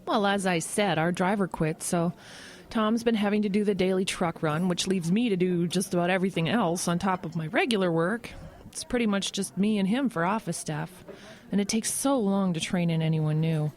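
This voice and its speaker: An actress playing a friendly, overworked small-town office worker in an audio drama, talking in a hesitant, hedging, conversational way. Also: female voice, fairly low pitch, normal speed, darkish tone, natural voice. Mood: chatty.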